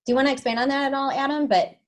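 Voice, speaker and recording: A woman's voice: sits high, fairly even intonation, rapid-fire. A woman in a webinar discussion, agreeing and encouraging others. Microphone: video call.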